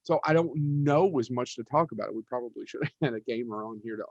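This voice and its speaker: A man's voice: mid-range voice, fast, dry recording, lo-fi sound. A man co-hosting a casual podcast panel, talking in an emphatic, rambling, informal way. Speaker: podcast co-host.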